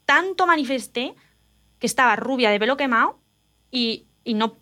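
A woman from Spain, telling personal stories in an animated, confiding casual chat. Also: a little high, moderate pace.

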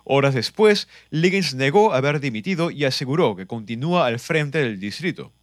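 A man reading a news report in an even, formal delivery. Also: medium pitch, normal speed, no room sound, clean studio sound. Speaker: news reader.

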